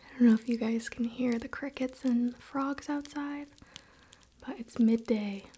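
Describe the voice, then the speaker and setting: A woman whispering softly in a soothing, relaxed and informal way, as an ASMR host. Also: on the high side, a little slow, a little hiss or hum. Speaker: ASMR video host and spiritual practitioner. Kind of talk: soft-spoken whispered ASMR.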